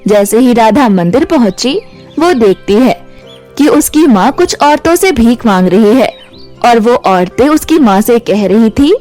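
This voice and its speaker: A woman with a high voice narrates a scripted children's fairy tale. She works as a storyteller over faint background music. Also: animated, unhurried, darkish tone.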